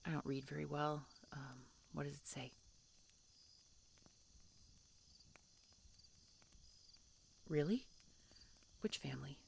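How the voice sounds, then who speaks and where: A woman playing a guarded, tsundere dragon hunter in an intimate fantasy audio roleplay, halting and grudging, often dropping to a hushed delivery. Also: deep voice, vocal fry, slight background noise, exaggerated voice. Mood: softer, hesitant. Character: prickly tsundere female dragon hunter, guarded but softening.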